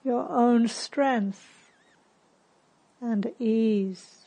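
Female meditation guide, fairly high voice, very slow delivery, calm gentle instruction with spacious pauses. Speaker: meditation teacher.

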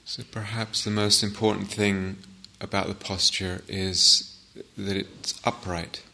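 A man giving guided meditation instructions as a teacher, gently and unhurriedly, with long pauses. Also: low voice, flat delivery, gravelly.

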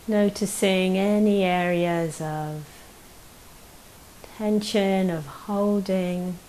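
A woman leading a guided meditation as a teacher, speaking gently and unhurriedly with long pauses. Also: mid-range voice, fairly even intonation, low-quality recording. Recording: faint hiss behind the voice.

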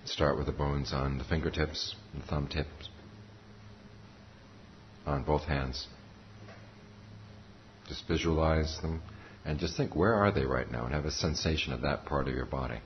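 A man giving guided meditation instruction as a teacher, calm and measured, pausing between short phrases. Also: rumbling low. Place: meditation center talk. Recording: muffled recording with faint background noise.

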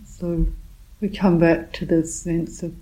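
Low, slow-speaking woman guiding meditation. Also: level tone, warm tone, poor audio. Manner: unhurried, with short phrases and long pauses. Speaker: meditation teacher. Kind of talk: guided meditation, softly spoken instruction.

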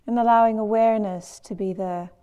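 A woman teaching a guided meditation in a measured, gentle manner with long pauses between phrases. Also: mid-range voice, steady tone, smooth, lo-fi sound.

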